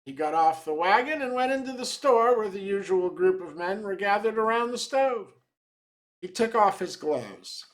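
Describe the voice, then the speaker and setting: A man giving a dramatic reading of a short tale, measured and theatrical as a storyteller. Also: high-pitched, expressive, fairly bright tone, poor audio.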